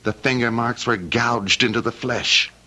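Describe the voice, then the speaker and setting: A man's voice: mid-range voice, steady tone, sharp tone. An actor playing a cold, scheming heir in his thirties, menacing and mocking, in old-time radio drama style. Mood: coldly insistent. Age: 30s. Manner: menacing, mocking, theatrically deliberate.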